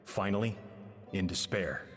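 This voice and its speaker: A man's voice: low-pitched, a little slow. An actor playing a grave, regretful man making a solemn confession, as in a letter read aloud in a story game. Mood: sorrowful. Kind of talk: solemn dramatic narration. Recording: long hall reverb, music behind.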